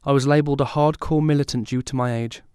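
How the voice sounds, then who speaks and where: A male actor as a haunted survivor in an audio drama. He tells of his traumatic youth in a solemn, measured way, with a medium-pitched voice. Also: fairly even intonation, dark tone, clean tone, dry recording, clean studio sound, natural voice. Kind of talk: scripted first-person drama narration.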